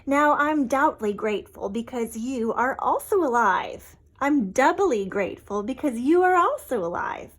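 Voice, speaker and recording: Little hen, read by a woman: emphatic, suspicious, puzzled, slow, storybook letter style. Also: high-pitched, full character voice. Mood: grateful.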